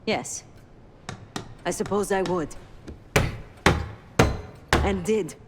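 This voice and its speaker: A woman's voice: fairly low pitch, extremely slow, heavy background noise. An actress playing a guarded, tough female covert operative in a video game, blunt and intense. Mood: firm. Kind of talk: video game cinematic acting.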